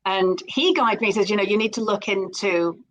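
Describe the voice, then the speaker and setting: A woman's voice: medium pitch, brisk, muffled recording. A middle-aged Scottish woman speaking as a guest in a live interview, enthusiastic and often repeating words as she starts a sentence. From Scotland.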